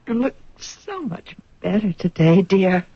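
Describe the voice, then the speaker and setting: An actress playing a devoted, concerned wife in a radio drama, tender and earnest. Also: female voice, medium pitch, sing-song, measured pace, slightly rough, no room sound, full character voice. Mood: tender, hopeful. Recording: dull, dry vintage radio recording.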